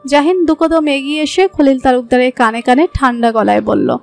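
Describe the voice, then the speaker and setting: A woman's voice: high-pitched, moderate pace, vocal fry, a little mellow, faint music behind. A woman with a Bangladeshi accent reading scripted narration for a romantic story.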